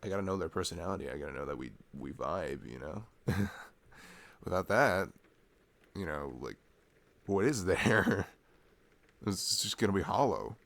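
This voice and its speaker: A man acts out a sweet college-age boyfriend in an intimate ASMR roleplay. His voice sits very low with a gravelly creak. He rambles in a casual, halting way, introverted but openly affectionate. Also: animated, fairly bright tone, no echo, heavily acted. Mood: earnest, musing. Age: young adult. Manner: rambling, halting, chuckling asides.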